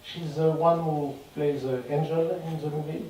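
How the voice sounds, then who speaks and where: A man with a French accent answers questions after a screening, pausing and searching for his English words. His voice sits somewhat high for a man. It is picked up from a distance in a strongly reverberant cinema hall with faint noise. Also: steady tone, dark tone. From France. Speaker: film director at a Q&A. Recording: echoey cinema hall from far off.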